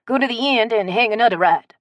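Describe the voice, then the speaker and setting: A woman voicing a friendly, generous old man with a loud, drawling way of talking in an audiobook. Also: a little high, fast, no room sound, pitched above the performer's own voice, natural voice. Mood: folksy, hearty. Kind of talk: audiobook character voice.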